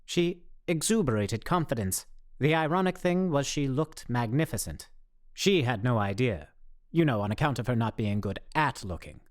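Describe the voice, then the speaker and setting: A woman's voice: rumbling low, animated, normal speed, a little mellow. A woman playing a pedantic, self-important zombie theorist in a radio play, with formal, wordy diction. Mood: awkwardly formal.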